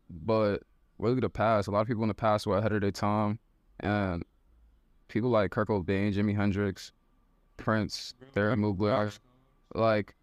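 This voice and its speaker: A young man talks loosely and bluntly in a casual chat among friends, swearing freely. His voice sits low. The sound is close and dry. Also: no echo. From United States. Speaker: member of a creative group. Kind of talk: casual group conversation.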